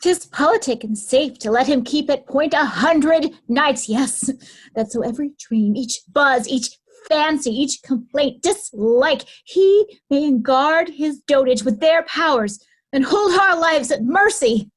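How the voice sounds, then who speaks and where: Scheming royal daughter, imperious and suspicious, high-pitched, very measured classical delivery female actor. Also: expressive, low-quality recording. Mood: bitter sarcasm. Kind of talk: Shakespearean reader's theatre acting.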